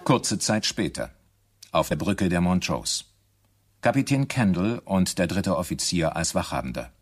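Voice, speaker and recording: Male narrator for radio drama: very low, husky voice, scripted scene-setting. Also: lively intonation, unhurried, faint music behind.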